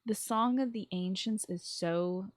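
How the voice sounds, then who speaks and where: A woman hosting a solo podcast, reading scripted commentary with expressive, enthusiastic asides. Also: mid-range voice, measured pace, a little hiss or hum. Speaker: podcast host.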